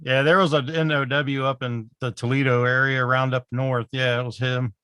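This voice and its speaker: A man's voice: medium pitch, little pitch movement, normal speed, bright, forceful tone, clear, resonant tone, no room sound. A man in his forties, a wrestling promoter, chatting in an easygoing, agreeable way on a podcast. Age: 40s. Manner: easygoing, agreeable, chuckles often. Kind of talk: casual podcast chat.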